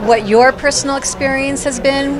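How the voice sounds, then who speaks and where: A woman's voice: sits high, steady tone, moderate pace, poor audio. A middle-aged woman hosting an interview, asking questions and affirming her guest, speaking into a handheld mic. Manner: supportive, affirming. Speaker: interviewer host. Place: event backdrop, crowded venue. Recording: crowd chatter behind.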